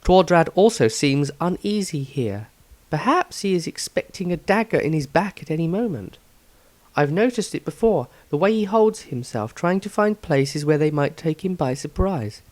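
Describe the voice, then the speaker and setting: A man voicing an uneasy, observant adventurer, soft and thoughtful, reading a fantasy diary entry. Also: fairly high pitch, expressive, moderate pace, dry recording, lightly acted. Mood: uneasy, pensive. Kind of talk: fantasy audio story diary.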